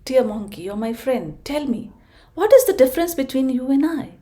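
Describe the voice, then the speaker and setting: A woman's voice: high-pitched, lively intonation, normal speed, mellow, voice pushed up. A woman doing a small, unsure tortoise. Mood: plaintive.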